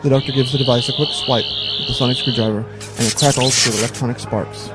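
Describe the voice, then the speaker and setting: A man's voice: medium pitch, steady tone, fast talker, loud music behind the voice, poor audio. A man narrating a scripted audio drama in a descriptive storytelling manner.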